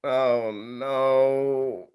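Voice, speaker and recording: A man as a dry, reluctant groom-to-be, mid-pitched. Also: steady tone, very slow, a little mellow, smooth. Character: reluctant, dryly wry groom-to-be among friends. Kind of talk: screenplay table read.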